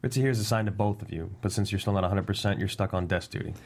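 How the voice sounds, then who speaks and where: A man's voice: lowish voice, racing, darkish tone. A man playing an exasperated, no-nonsense police captain in a table read of a TV script. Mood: businesslike.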